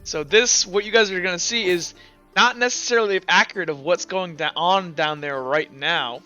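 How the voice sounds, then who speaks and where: A man working as game master, casually recapping a tabletop game in a halting way, stumbling over his words. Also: sits high, bright, forceful tone, clean tone, highs cut off, soft background music.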